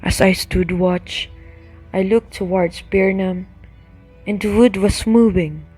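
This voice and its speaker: A performer playing a frightened, deferential servant in an amateur Shakespeare radio drama, speaking haltingly to a lord. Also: medium pitch, steady tone, a little mellow, breathy edge. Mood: anxious. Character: frightened, hesitant servant bringing bad news to his lord. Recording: music behind the voice.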